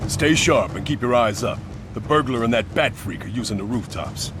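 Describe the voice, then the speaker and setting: An actor playing a tense, officious armed security squad leader in a video game, clipped and commanding. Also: male voice, mid-range voice, expressive, moderate pace, background noise, natural voice. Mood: clipped, alert.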